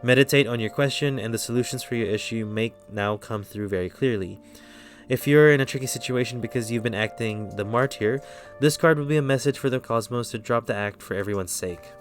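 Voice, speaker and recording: A man with an American accent giving a relaxed, rambling tarot reading on a live stream, often stopping to correct himself. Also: medium pitch, brisk, faint music behind. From Philippines.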